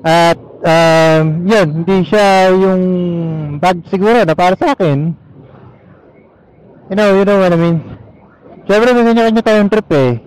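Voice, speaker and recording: A man's voice: sits high, a little slow, long pauses, a little mellow, smooth, rough recording. A man with a Filipino accent chatting casually and easygoing in Taglish as he vlogs from inside a motorcycle helmet. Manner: easygoing Taglish code-switching. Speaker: motorcycle vlogger. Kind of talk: casual rambling vlog chat while riding. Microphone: helmet intercom or action camera mic. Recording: helmet mic with engine and road noise.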